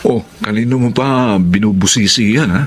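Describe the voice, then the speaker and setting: Man acting older cousin, patient, chiding, lowish lively voice, faint noise, radio drama. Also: normal speed, close to an everyday voice. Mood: teasing, practical.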